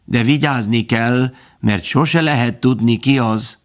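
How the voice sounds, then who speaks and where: Guy putting on a grasshopper voice, raspy n grating, bit raised, stiff and fussy storybook bug. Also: medium pitch, voice pushed up, close to an everyday voice. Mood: stiff, reassuring. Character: fussy, serious male grasshopper, small, busy, married.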